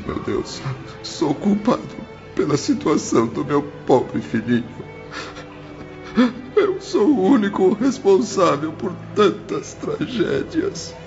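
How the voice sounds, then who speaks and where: A man playing the guilt-ridden spirit of a dead father, desperate and tender, halting and theatrical, in an audio drama. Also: fairly high pitch, darkish tone, full character voice. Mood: tormented guilt. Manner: halting, theatrical, pleading delivery. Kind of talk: audiobook radio drama acting. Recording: muffled audio, music underneath.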